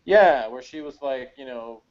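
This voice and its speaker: A man's voice: medium pitch, lively intonation, unhurried, dull audio. A man co-hosting a casual chat in a joking way, putting on imagined quotes. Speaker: podcast co-host.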